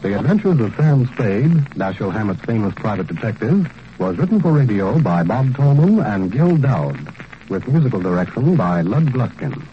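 A man's voice: lowish voice, very animated, moderate pace. A man reading scripted credits as a 1940s radio announcer. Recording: dull old radio recording, faint noise.

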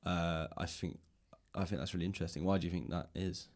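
A man with a low voice hosts a conversational interview. He is thoughtful and tentative, working through his ideas aloud as he speaks. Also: fairly even intonation, fast, a little mellow. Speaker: podcast host and interviewer. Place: indoors, in a library.

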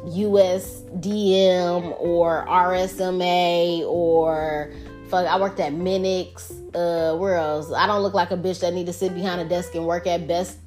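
A woman's voice: lowish voice, fairly even intonation, a little slow, darkish tone, soft background music. Profane, candid woman podcaster. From Detroit. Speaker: podcast host. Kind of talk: casual solo podcast monologue. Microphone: podcast microphone.

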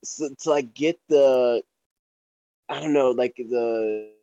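A man's voice: mid-range voice, slow pace, mellow, dull audio. A young man from North Florida, a rapper guest chatting casually on a podcast with many fillers. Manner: laid-back, rambling, heavy fillers.

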